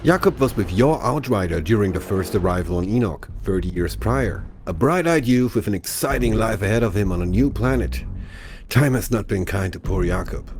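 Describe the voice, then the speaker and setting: A man's voice: fairly low pitch, expressive, normal speed, darkish tone, slight background noise. A man presenting scripted promotional narration for a video game broadcast, polished and explanatory. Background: music.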